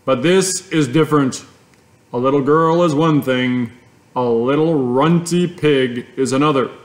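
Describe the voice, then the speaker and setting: A man voicing a gentle, steady farmer father who speaks kindly. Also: mid-range voice, a little slow, natural voice.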